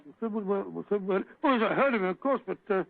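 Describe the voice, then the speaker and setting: An actor playing a bumbling, stammering doctor sidekick in an old radio drama. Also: male voice, high-pitched, animated, quick pace, gravelly. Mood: flustered. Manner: stammering, hesitant. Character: bumbling, flustered middle-aged doctor sidekick. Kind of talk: 1940s radio acting. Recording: tinny old radio broadcast.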